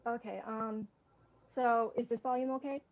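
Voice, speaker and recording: A soft-spoken young woman introducing herself as a student on a video call. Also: on the high side, steady tone, normal speed, muffled recording. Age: young adult. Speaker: student panelist.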